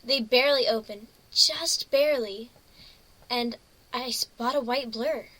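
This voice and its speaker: Girl playing a dreamy confused unicorn pony in a story reading, high bright voice, talks slow. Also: poor audio. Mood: dazed, anxious. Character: naive, dazed female unicorn pony stowaway. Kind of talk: first-person dramatic story reading.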